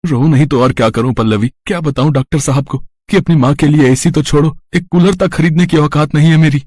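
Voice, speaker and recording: A man's voice: a little high, brisk, darkish tone, no room sound, studio quality, close to an everyday voice. A man voicing a poor labourer husband in a moral story, a caring son who speaks earnestly and with worry. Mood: despairing. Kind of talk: dramatised Hindi moral story.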